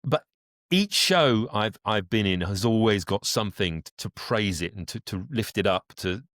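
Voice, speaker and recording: A man's voice: lowish voice, animated, moderate pace, fairly bright tone, dry recording, clean studio sound. A man chatting casually as an interview guest, effusive and emphatic about his work.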